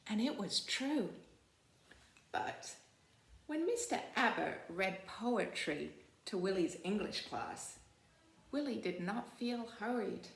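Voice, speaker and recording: A woman school librarian reading aloud to children on a library video, teacherly and expressive, often checking in with simple questions. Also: mid-range voice, slow, vocal fry, long pauses. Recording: roomy, echoing sound.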